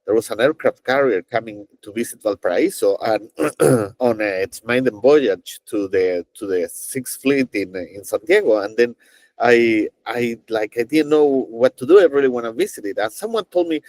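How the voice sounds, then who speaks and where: Man, middle-aged, halting interview guest, dry close mic. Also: mid-range voice, lively intonation, a little mellow, no room sound. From Chile. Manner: halting, many fillers and restarts, storytelling. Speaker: NASA engineer, guest. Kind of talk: conversational interview answers.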